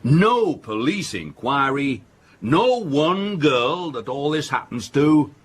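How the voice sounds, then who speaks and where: Casting: older well-to-do patriarch, radio drama, blustering and argumentative, hugely animated intonation. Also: male voice, a little high, slow pace, breathy edge, exaggerated voice. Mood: triumphant bluster. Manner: emphatic, dismissive, argumentative. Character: blustering, self-assured middle-aged father of a well-to-do family. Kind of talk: period stage-play radio drama acting.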